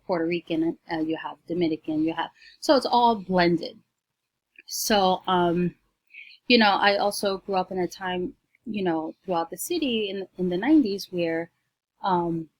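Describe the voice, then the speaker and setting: A woman, giving a class presentation at home with many ums and pauses; she is shy on camera. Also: on the low side, breathy edge, no echo, low-quality recording. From New York, United States. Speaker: student presenter.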